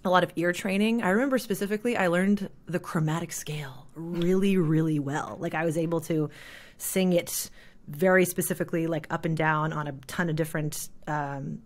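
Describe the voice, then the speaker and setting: A woman's voice: fairly low pitch, brisk. Chatty woman with a thick voice. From Canada. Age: 30s. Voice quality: thick, heavy speaking voice. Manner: rambling, filler-heavy, laughs often. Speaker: guest, jazz singer. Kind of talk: casual interview chat.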